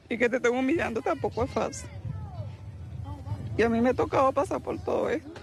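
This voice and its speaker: Venezuelan woman, street interview, soft and earnest, outdoors. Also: fairly high pitch, vocal fry. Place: outdoors at a border crossing.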